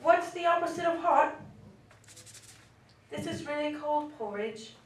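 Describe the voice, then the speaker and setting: A woman performs a curious, fussy little girl in a puppet show, with a high voice. The rhymes come out slowly and deliberately in a singsong. It is picked up from far off in a roomy space with faint noise. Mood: playful, puzzled. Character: Goldilocks, wandering young girl, fussy and curious.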